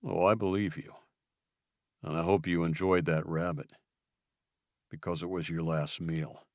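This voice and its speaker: A man playing a grim, quietly menacing leader. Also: no room sound, clean studio sound. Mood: cold, probing. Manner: low, slow, quietly menacing.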